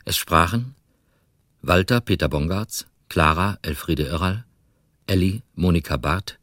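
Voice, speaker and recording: Slow, deep male radio announcer. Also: a bit bright, no room sound, studio quality. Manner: slow, measured, formal reading. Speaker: announcer reading the credits. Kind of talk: formal broadcast credits announcement.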